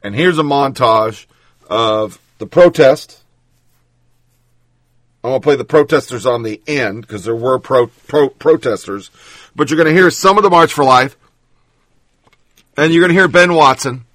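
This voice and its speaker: A man's voice: mid-range voice, measured pace, pauses often, a bit bright, no room sound. A man hosting political commentary, reading news and then ranting bluntly with sarcasm and profanity.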